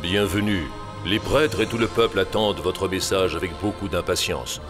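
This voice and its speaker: A deep-voiced, haughty ruler played by a man from a cartoon, grave and regal in measured phrases. The voice rings in a long hall reverb over faint music. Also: fairly even intonation, lightly acted. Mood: stately, cold. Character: haughty, grave Aztec emperor, adult man. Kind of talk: French cartoon dubbing.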